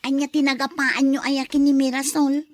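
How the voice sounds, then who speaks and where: A woman's voice: high-pitched, flat delivery, moderate pace, natural voice. A woman playing a studious, slightly impatient older sister in an Ilocano radio drama. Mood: mildly impatient.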